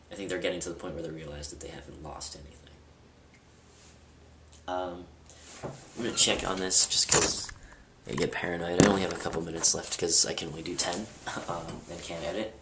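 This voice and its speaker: A young man talking candidly in a personal vlog, rambling a little with fillers and restarts. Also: low voice. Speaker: vlogger. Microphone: camera mic at a distance. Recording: roomy, distant, with loud background noise.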